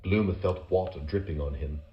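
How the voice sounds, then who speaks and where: A man with a very low voice narrates a fantasy audio adventure game. He tells it in a measured, dramatic storybook style. The recording sounds slightly dull and muffled. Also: husky.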